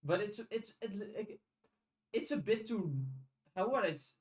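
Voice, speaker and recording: A man's voice: high-pitched, animated, unhurried, distant mic. A man hosting a podcast in casual conversation, thinking aloud analytically and often restarting his phrases. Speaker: podcast host. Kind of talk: casual chat.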